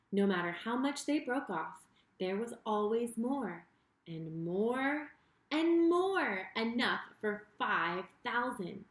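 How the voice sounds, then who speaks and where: A woman reading a children's story in an expressive, deliberate way, speaking directly to her young listeners. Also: a little high. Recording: roomy, echoing sound.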